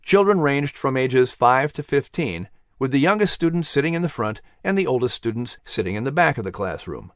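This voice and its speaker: Male storyteller for children's audiobook: animated delivery, medium pitch, close dry studio sound. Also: fairly quick, dry recording. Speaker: storyteller, narrator. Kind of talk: scripted audiobook narration for children.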